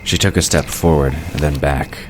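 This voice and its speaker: A man's voice: very deep voice, fairly even intonation, moderate pace. A man reading scripted third-person narration for a fantasy audio drama. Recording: clear background noise of night crickets.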